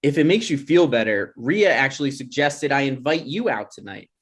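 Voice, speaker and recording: A man's voice: medium pitch, lively intonation, moderate pace, close to an everyday voice. Guy acting insecure twenties dude, defensive, voice swings all over, comedy read. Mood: earnest. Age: young adult. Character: insecure, defensive twenty-something boyfriend and son. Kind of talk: comedy script table read.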